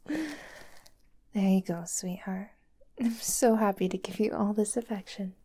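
A woman voicing a doting, possessive young girlfriend who holds her lover captive, talking softly and sweetly in a whispery voice. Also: mid-range voice, expressive, slow, breathy edge. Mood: soothing. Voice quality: soft and whispery. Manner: sweet, clingy, coaxing. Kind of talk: roleplay audio drama to the listener.

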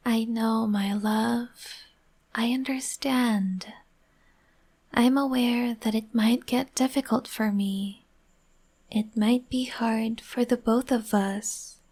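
Woman, slow breathy whisper, gentle comforting partner roleplay. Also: fairly high pitch, fairly even intonation. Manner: soft soothing whisper.

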